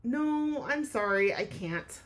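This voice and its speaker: This is a shy storybook koala acted out by a woman reading aloud. The voice sits fairly high and sounds hesitant and timid, like a small creature that would rather stay put. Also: lively intonation, slow pace, poor audio. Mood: nervous, reluctant. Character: timid male koala declining to play.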